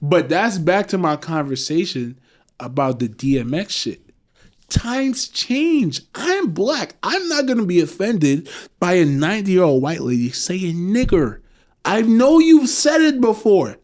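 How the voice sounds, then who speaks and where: Tipsy American male podcast co-host, animated storyteller, lively high-ish voice. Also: a little slow. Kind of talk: casual chat.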